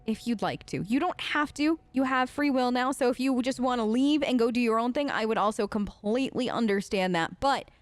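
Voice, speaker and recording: A woman with a high voice, recorded close and dry. She role-plays her game character as a let's play host, talking in a casual, rambling, hesitant way. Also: a little fast, few pauses, no room sound. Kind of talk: improvised in-character game dialogue, casual chat.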